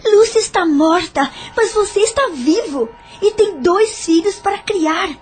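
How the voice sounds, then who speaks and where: An actress plays a devoted, insistent woman in an audio drama. Her voice sits high, and she pleads and presses her case. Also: expressive, measured pace, darkish tone, faint music behind. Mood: insistent pleading. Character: insistent, devoted woman pursuing a grieving widower.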